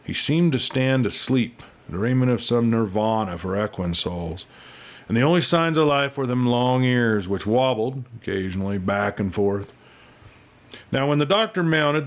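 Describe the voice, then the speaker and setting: A man reading a western novel aloud as an expressive, folksy storyteller. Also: medium pitch, moderate pace. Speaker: audiobook reader and storyteller.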